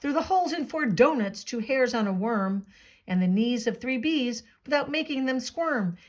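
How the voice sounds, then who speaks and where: A woman reading a storybook as an eager, boastful young boy playing circus showman, proclaiming excitedly in rhyme. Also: on the high side, normal speed, lightly acted. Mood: showy, proud.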